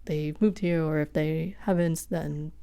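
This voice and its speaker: Young guest chef, first language Vietnamese, low-pitched, loose rambling chat. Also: female voice, fairly even intonation, a little slow, creaky, dark tone, smooth. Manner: chatty, many fillers, laughs often. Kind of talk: casual podcast conversation.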